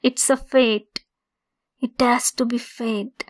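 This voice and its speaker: Slow, high-voiced possessive centaur woman, acted by a woman. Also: fairly even intonation. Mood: wistful, obsessive. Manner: slow, deliberate, insistent, clingy. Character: possessive yandere female centaur, lonely, obsessive, domineering. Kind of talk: ASMR roleplay monologue.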